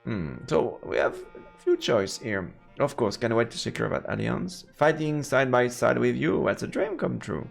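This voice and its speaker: A man's voice: medium pitch, expressive, normal speed, vocal fry, few pauses. A man streaming a let's play, reading game text aloud and dropping in playful murmured interjections. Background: game music.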